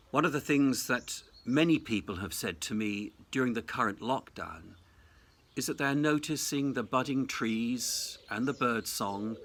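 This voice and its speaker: A man, a senior Anglican clergyman, delivering a reflective Easter sermon in a measured, deliberate, formal way. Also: mid-range voice, a bit bright. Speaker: Anglican cathedral dean giving Easter message. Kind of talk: reflective sermon, prepared address.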